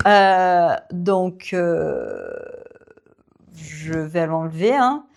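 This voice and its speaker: A woman who teaches French and hosts her own channel, answering viewer questions in a relaxed Q&A, with many fillers and small laughs. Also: on the low side, gravelly. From Brazil. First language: Portuguese. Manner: slow, warm, relaxed, with frequent fillers and little laughs. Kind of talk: casual Q&A to camera.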